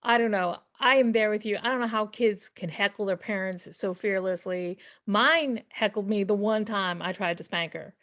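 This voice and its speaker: A woman's voice: mid-range voice, moderate pace, hardly any pauses, fairly bright tone. Chatty woman hosting a story show. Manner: chatty, reacting and introducing speakers. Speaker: host. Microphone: video call.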